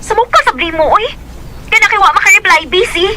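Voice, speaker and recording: A brisk, defensive girlfriend. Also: very high voice, animated, loud music behind the voice. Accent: Cebuano. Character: young woman, distant and defensive girlfriend. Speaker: radio drama actress. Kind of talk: Cebuano radio drama acting.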